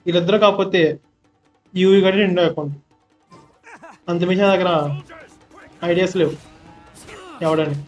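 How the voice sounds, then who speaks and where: A man's voice: high voice, fairly even intonation, rapid-fire, pauses often. A man from India with a Telugu accent, giving casual live gameplay commentary as a streamer through a muffled microphone. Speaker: game streamer. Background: game music.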